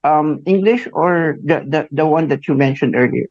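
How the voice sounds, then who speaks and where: A Filipino man in his forties hosting a radio interview, speaking haltingly with many fillers and a tagged "no?". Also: a little high, dry recording. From Philippines. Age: 40s. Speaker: radio host. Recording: muffled dry radio studio sound.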